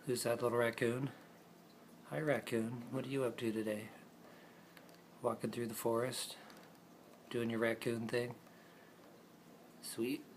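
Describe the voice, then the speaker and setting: A man with a mid-pitched voice narrates a homemade puppet show. He improvises in a playful, casual way, talking to the characters as he tells the story. The amateur recording carries some faint noise. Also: level tone, measured pace, pauses often.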